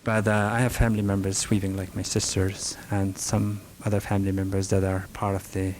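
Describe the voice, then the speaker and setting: A middle-aged man from northern Afghanistan with an Afghan accent, speaking halting English with many restarts while answering questions at a library talk. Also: low voice, monotone. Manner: halting, frequent restarts and fillers, soft-spoken. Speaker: rug merchant giving a talk. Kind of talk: answering audience questions at a presentation. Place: library meeting room. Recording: faint background hiss, archival recording.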